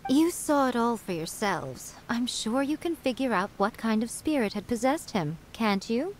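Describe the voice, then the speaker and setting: A woman's voice: fairly high pitch, very animated, moderate pace, slight background noise, rough recording. A woman voicing a sly, wise fox-spirit shrine priestess in a video game, composed and lightly teasing as she lectures.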